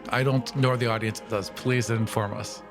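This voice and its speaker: Male tabletop player, mid-pitched voice. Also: fairly even intonation, normal speed. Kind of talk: casual in-character game chat and narration. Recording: music playing under the voice.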